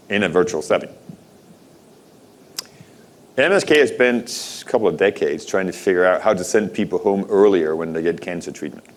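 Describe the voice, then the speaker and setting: A middle-aged man from Denmark giving a conference keynote, asking the audience rhetorical questions in a conversational way. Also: deep voice. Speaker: conference speaker. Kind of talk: keynote talk.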